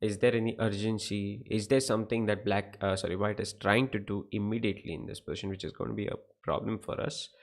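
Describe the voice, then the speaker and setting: A man's voice: on the low side, steady tone, quick pace, few pauses. A young man with an Indian English accent teaching chess on a livestream, explaining positions, asking viewers questions and greeting the chat by name. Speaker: chess coach. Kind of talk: live-streamed chess lesson.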